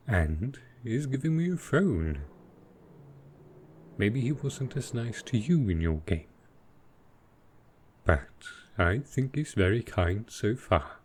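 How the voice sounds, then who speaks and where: A man voices a dragon boyfriend in an intimate audio roleplay. He is very low and unhurried, thinking aloud with doting, innocent earnestness. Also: slightly rough, heavily acted. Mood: trusting, pleased. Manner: slow, musing, softly doting, naive. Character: gentle, earnest male dragon boyfriend, new to technology.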